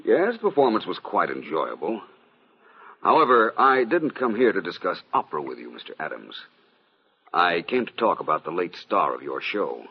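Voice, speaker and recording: An actor playing a suave, shrewd gentleman private detective in an old-time radio mystery, polite, probing and dryly understated. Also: deep voice, big pitch swings, moderate pace, a little hiss or hum. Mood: courteous, probing. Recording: dull, muffled old radio recording.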